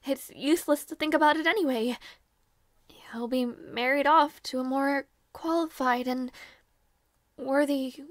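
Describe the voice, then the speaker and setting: A woman playing a devoted, anxious young maid in an audio roleplay, speaking softly and politely with halting hesitation. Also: high voice, dry recording.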